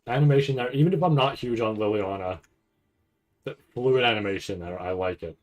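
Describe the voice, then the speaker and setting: A man giving casual, off-the-cuff commentary on a video, thinking aloud in a halting way and often repeating himself. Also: on the low side. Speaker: anime reaction streamer.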